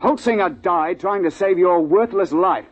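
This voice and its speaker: An actor playing a stern British big-game hunting guide in a 1950s radio drama, speaking in a clipped, understated, old-fashioned way. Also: male voice, high voice, moderate pace. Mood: furious contempt. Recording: muffled, noisy 1950s radio recording.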